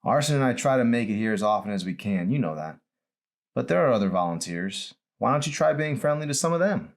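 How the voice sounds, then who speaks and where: Man reading as a sassy young woman, lively intonation. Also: mid-range voice, brisk, creaky, pitched above the performer's own voice. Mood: placating. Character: sassy, teasing young female hospital volunteer.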